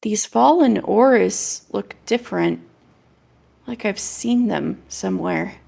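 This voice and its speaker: A woman's voice: mid-range voice, takes it slowly, creaky, warm tone. A woman streaming a video game, chatting casually and reading story dialogue aloud, with game music behind her.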